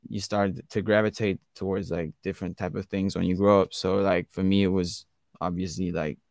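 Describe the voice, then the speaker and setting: A man's voice: sits low, flat delivery, fairly quick, darkish tone, no room sound, studio quality. A young man from Switzerland, a guest artist, chatting casually in a laid-back way and dropping "bro" into nearly every sentence. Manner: laid-back slang, says "bro" often. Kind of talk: casual interview chat.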